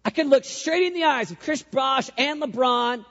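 A male youth pastor with a squeaky voice, preaching an energetic sermon, repeating phrases for emphasis and leading the crowd in call-and-response. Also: normal speed. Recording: echoey hall, dull lo-fi sound.

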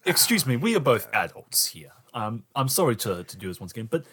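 A man's voice: mid-range voice, sing-song, a little fast, a bit bright, slight background noise. A man playing in an improvised role-play game, scolding in character in an indignant, stern way.

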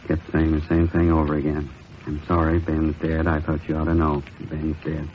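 An actor playing a weary, middle-aged veteran police captain in an old radio drama, terse and subdued but sympathetic. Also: male voice, extremely low pitch, monotone, normal speed. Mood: grieving, subdued. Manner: terse, subdued, clipped sentences. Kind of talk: 1950s radio acting. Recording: tinny, hissy old radio recording.

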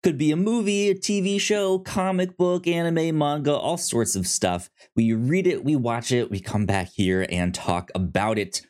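A man hosting a casual review podcast, speaking in halting, conversational phrases that often double back on repeated words. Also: a little high, a little mellow. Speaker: podcast host.

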